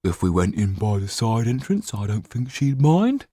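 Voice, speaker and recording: Man doing a mellow, bashful old simpleton. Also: on the low side, sing-song, normal speed, dry recording, pitched above the performer's own voice. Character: slow, bashful, simple-hearted older male sidekick.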